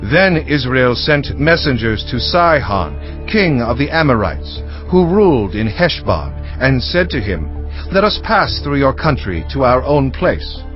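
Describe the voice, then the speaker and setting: A man's voice: mid-range voice, big pitch swings, unhurried. A man playing a proud warrior commander in a dramatized audio Bible, assertive and emphatic in his rhetoric. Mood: firm argument. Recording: dull band-limited audio, faint music underneath.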